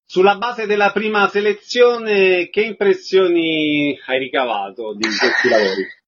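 A man's voice: sits high, measured pace, fairly bright tone, a little hiss or hum. A man hosting a radio show, delivering prepared announcements and interview questions. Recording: dull lo-fi radio recording.

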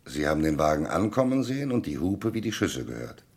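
A man's voice: extremely low pitch, big pitch swings, a little fast, a little mellow, husky, full character voice. An actor playing a shrewd, insistent police commissioner in a radio crime drama, methodical and declamatory as he interrogates. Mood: probing, firm.

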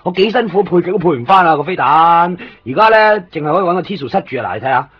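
Man acting excitable high-voiced Hong Kong toy shop owner. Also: fast talker, dull audio, a little hiss or hum, natural voice. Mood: teasing, confiding. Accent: Hong Kong Cantonese. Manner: excitable, rambling, fond of showing off toys. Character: eager, chatty male second-hand toy shop owner, kind to customers. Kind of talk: radio drama acting. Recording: band-limited 1990s radio recording with faint music.

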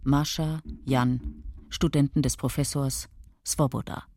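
An actress playing a sober narrator in a radio play, reading stark scene descriptions. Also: female voice, a bass voice, steady tone, slow, warm tone, no room sound, clean studio sound, full character voice.

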